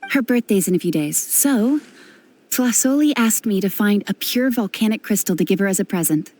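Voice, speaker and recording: A woman playing a composed, kindly young tribeswoman in a fantasy video game, telling things in a measured, reassuring way. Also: mid-range voice, animated, dark tone, natural voice. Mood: calm, kindly. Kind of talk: video game character acting. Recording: music behind the voice.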